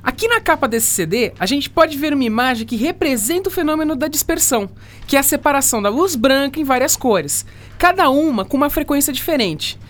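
A woman's voice: high-pitched, lively intonation, brisk. A woman playing a patient, encouraging male science teacher with a Brazilian accent, explaining didactically in an educational radio drama. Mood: patient, explanatory.

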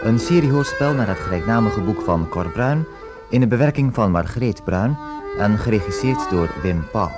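A man's voice: on the low side, animated, normal speed. A man announcing a radio drama in a scripted introduction. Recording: old radio broadcast. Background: loud woodwind music.

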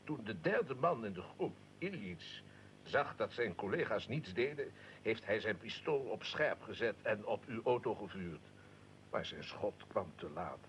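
An actor playing a well-informed, critical investigator in a radio drama, explaining events formally. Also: male voice, fairly low pitch, animated, measured pace, fairly bright tone, slight background noise, poor audio. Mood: factual recounting. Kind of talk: radio drama, interview with narrated account.

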